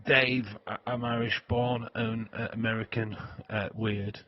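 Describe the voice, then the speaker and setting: A man's voice: medium pitch, little pitch movement, a little slow, no echo, lo-fi sound. A man with a Yorkshire accent chatting casually to viewers on a livestream, rambling and laughing often. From England. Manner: rambling, laughs often, many filler sounds. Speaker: livestream host.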